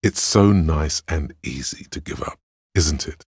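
Very deep, raspy voice of logic. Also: male voice, darkish tone, no echo, full character voice. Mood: dry, reasoning. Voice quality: very deep, gravelly rasp. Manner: measured, deliberate, analytical, dry. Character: calm, cerebral voice of Logic inside a detective's mind. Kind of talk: video game inner-voice narration.

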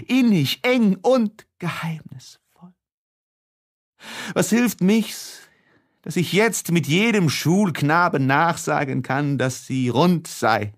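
A man reading a classic literary letter as an audiobook narrator, with a deliberate and expressive dramatic delivery. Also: a little high.

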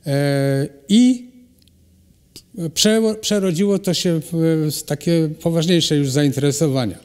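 A man's voice: a little high, darkish tone, clear, resonant tone. Hesitant man in an echoing hall. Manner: halting, many pauses and restarts. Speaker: ceramic artist and cultural animator, guest. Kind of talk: hesitant conversational interview answers. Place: hall at a cultural centre. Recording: long reverb of a hall.

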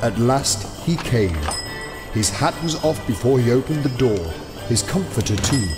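Male actor as audio drama narrator, medium pitch, emphatic pauses, scripted storytelling, musical backing. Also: expressive, over music, close to an everyday voice. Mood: wry, suspenseful. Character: storytelling narrator of a Christmas tale.

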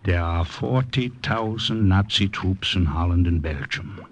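Deep, formal secret resistance informant. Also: male voice, lively intonation. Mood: grave, secretive. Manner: formal, deliberate phrasing. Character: grave, formal European resistance informant, Herr Bock. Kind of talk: 1950s radio drama acting. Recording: noisy old-time radio recording.

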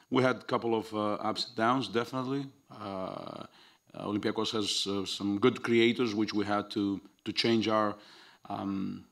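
A man in his forties, a head coach speaking at a post-game press conference in a measured, precise way, recalling numbers aloud. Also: lowish voice. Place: press room at a basketball arena. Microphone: table mic on a stand.

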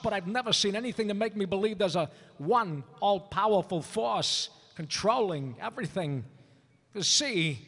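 A man's voice: sits high, gravelly, bright, forceful tone, breathy edge, full character voice. A man voicing a cocky, cynical space smuggler, brash and sarcastic, in a comic live table read on a hall stage. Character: cocky, cynical male space smuggler as loud cartoon character. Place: large convention hall stage. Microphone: table microphone. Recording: reverberant convention hall panel.

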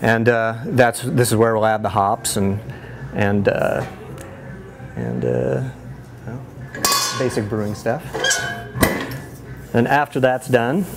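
Man, thirties, low voice, relaxed brewery tour, lots of fillers, lapel mic, small brewery. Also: slow, vocal fry, long pauses, heavy background noise. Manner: relaxed, many fillers and restarts. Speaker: head brewer. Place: small brewery inside a bar, among steel tanks.

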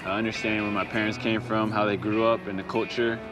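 A man's voice: lowish voice, flat delivery, brisk. A young American man in his twenties, speaking earnestly and reflectively about his life in a documentary. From United States. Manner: earnest, reflective, plain-spoken. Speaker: basketball player. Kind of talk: documentary talk and speech. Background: music.

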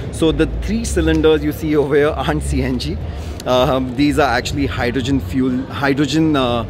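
A man presenting a car on a walkaround at an expo, explaining casually with plenty of ums and uhs. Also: on the high side. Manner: casual explaining with many fillers. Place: large indoor auto expo hall. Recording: noisy expo hall.

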